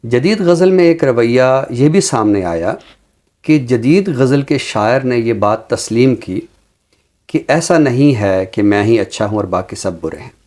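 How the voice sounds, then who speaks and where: A man speaking in a measured, deliberate and earnest way, explaining his views as a talk show commentator with a literary vocabulary. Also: medium pitch, expressive, darkish tone.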